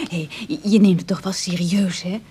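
An actress playing an inquisitive, affectionate, teasing woman in a Dutch radio drama, with a Netherlands accent and an expressive manner. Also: medium pitch, moderate pace, slightly rough. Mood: interested, supportive. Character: inquisitive, affectionate, teasing female partner of an ex-burglar.

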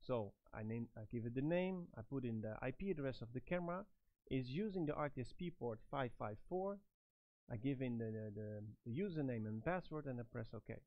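A middle-aged man giving step-by-step product instructions in a halting, phrase-by-phrase manner. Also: mid-range voice, lively intonation, no room sound, phone-quality sound. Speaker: product trainer and presenter.

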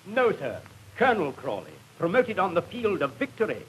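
Swooping, high-voiced army colonel. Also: male voice, huge pitch swings, moderate pace, fairly bright tone, heavily acted. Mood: stern, imperious. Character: commanding, swaggering British army colonel, a husband. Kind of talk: 1950s radio acting. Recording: noisy old 1950s radio recording.

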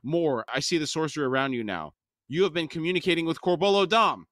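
A man plays a scheming advisor from a fantasy audiobook. He is insistent and calculating, ready to lay blame, with lively pitch and a very dry, close sound. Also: normal speed, dry recording, natural voice. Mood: accusing. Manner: hissing, insistent. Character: scheming, accusatory male advisor to a fantasy commander.

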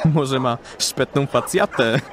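An actor playing a bald, mocking, pipe-smoking street idler in an audio drama, with sneering colloquial talk. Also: male voice, mid-range voice, slow pace, a little hiss or hum, natural voice.

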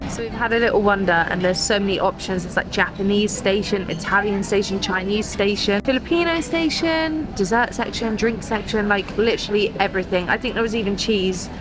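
A woman's voice: medium pitch, fairly quick, few pauses, music in the background. A young British woman in her twenties, chatting enthusiastically to camera as a food vlogger in a big mall buffet restaurant. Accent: British English. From Britain. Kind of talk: casual vlog chat to camera.

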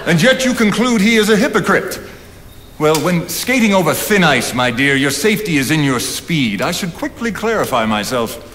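An older actor playing an assured, provocative philosopher, eloquent and rhetorical in theatrical stage style. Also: male voice, a little high, big pitch swings, normal speed, a bit bright. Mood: wry. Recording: reverberant theatre hall, background hiss.

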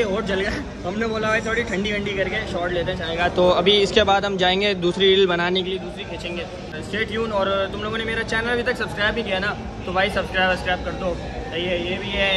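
A man's voice: high voice, level tone, a little fast, a loud crowd. A young man chatting casually as a vlogger into a handheld phone. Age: young adult. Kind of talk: casual vlog chat to camera and friends. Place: busy cafe and outdoor roadside stalls. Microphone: handheld phone at arm's length. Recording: loud street and vehicle noise.

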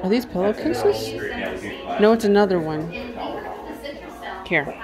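A woman's voice: mid-range voice, very animated, slow pace, loud music behind the voice. A woman vlogger giving casual commentary as she shops, half murmuring to herself while browsing. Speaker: vlogger, antiques reseller. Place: house and garage at estate sales. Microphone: handheld phone or camera.

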